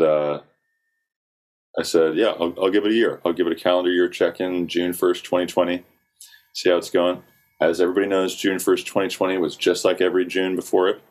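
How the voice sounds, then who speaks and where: A young man with a very low, rumbling voice talks loosely about his path as a singer-songwriter. He keeps an even, flat delivery and rambles with frequent ums and likes. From South Carolina, US. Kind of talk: casual interview talk.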